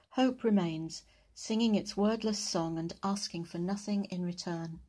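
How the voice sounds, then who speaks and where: A woman giving a scripted introduction and poetry recitation, with careful, measured phrasing. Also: mid-range voice, mellow. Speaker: church member introducing and reading a poem.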